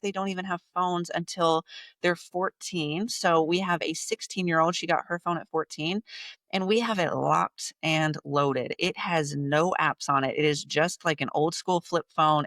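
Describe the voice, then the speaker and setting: Blunt, forceful woman in her thirties. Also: low-pitched, dry recording. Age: 30s. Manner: emphatic, blunt, repeats points for effect. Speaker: podcast guest, business coach. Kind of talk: casual interview talk.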